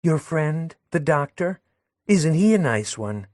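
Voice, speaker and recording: Man doing a high, sing-song young woman, sugary and spiteful, audiobook character. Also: very animated, takes it slowly, a little mellow, no room sound, studio quality. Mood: catty. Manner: sweet, wheedling and catty. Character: coy jealous young woman, cooing to her lover.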